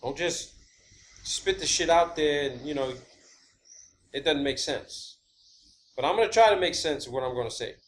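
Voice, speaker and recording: A man hosting a video, giving a rambling, unscripted explanation of his own theories in a halting, emphatic, blunt and casual way, repeating key words. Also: fairly high pitch. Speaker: video channel host. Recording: clear background ambient noise.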